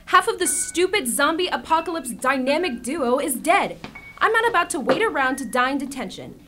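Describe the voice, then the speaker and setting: A woman's voice: high-pitched, sing-song, moderate pace, over music. A young actress playing an exasperated, defiant teenage schoolgirl in a zombie radio drama, blunt and dramatic. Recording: clearly noisy background.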